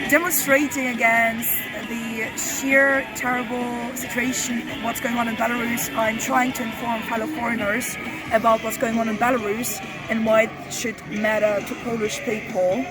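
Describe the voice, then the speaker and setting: A woman's voice: fairly high pitch, little pitch movement, normal speed, poor audio. A young woman from Germany, a student protester, explaining fluently and articulately in an outdoor street interview. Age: young adult. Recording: loud music outdoors.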